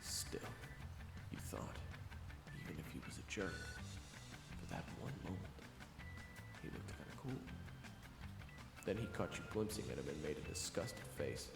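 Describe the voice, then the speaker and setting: A man's voice: low-pitched, expressive, a little slow, gravelly, pauses often. A man reading scripted second-person story narration for an audio roleplay. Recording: roomy hall reverb, music underneath. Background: background music.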